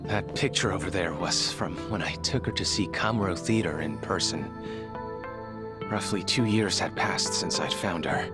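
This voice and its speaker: A man's voice: deep voice, lightly acted. An actor playing a formal, guarded male medical examiner in a video game drama, choosing his words with care. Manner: formal, measured diction, falters when pressed. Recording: music behind the voice.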